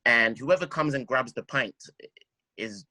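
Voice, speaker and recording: A man speaking as a songwriter guest in an interview, reflective and halting, often repeating words as he thinks. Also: medium pitch, pushed and bright, no echo.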